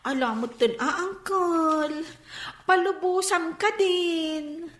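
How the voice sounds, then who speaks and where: An actress playing a kindly, persuasive young shop-owning cousin in a radio drama. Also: female voice, very high voice, takes it slowly, a bit bright, exaggerated voice. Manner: coaxing, pleading. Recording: echoey room sound.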